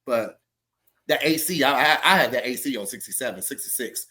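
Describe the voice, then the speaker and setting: A man's voice: mid-range voice, animated, sharp tone. Stuffy-nosed man chatting loosely. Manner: loose, joking, drawn-out delivery. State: stuffy, congested. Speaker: podcast co-host. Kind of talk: casual chat and read ad.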